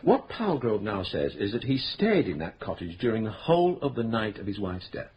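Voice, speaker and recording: An actor playing a calm, patient male police inspector in a radio drama, who explains things in a measured, polite way. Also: lowish voice, dull audio, slight background noise.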